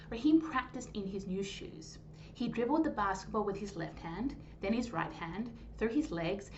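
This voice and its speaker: Female children's storyteller, animated and high-pitched with very lively intonation, slightly dull recording. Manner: animated, clearly phrased storytelling. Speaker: storytime host and reader. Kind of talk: expressive children's story reading. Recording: dull lo-fi sound, faint noise.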